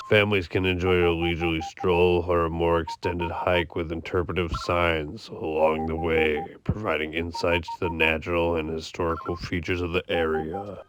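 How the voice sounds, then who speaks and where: A man with a hoarse, raspy voice narrating a scripted park-trail video as a voice-over. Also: low-pitched, noisy background. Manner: reads a scripted nature guide in an even tone. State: hoarse from yelling. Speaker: vlogger.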